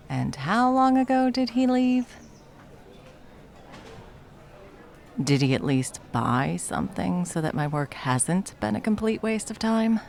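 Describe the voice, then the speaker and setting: A performer playing a jaded, sarcastic cafe baker with a villainous side, speaking in a dry, menacing deadpan for a comedy audio roleplay. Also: medium pitch, vocal fry. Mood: sarcastic annoyance. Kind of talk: ASMR comedy roleplay acting.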